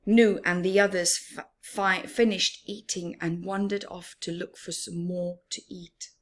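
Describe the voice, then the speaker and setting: A woman reading a picture book aloud expressively for young children, pausing for asides to her listeners. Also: on the low side, fairly even intonation. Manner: slow, deliberate storyteller addressing small children. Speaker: children's story reader.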